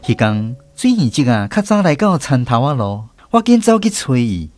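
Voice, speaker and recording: A man narrating a story in a gentle, nostalgic, unhurried way. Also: medium pitch, very animated. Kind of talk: scripted storytelling narration, reminiscing. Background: clear background music.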